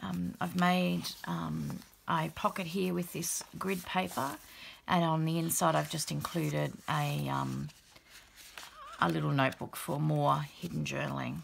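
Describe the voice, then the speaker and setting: A middle-aged woman talks through her handmade journal at a craft table, her voice croaky and gravelly from illness, with a heavy fry and a lowish tone. She speaks in a relaxed, chatty way, full of soft fillers. A faint hiss sits under the recording. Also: unhurried. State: croaky after the flu. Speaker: craft channel host. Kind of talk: casual show-and-tell of a handmade journal. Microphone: overhead camera, hands only.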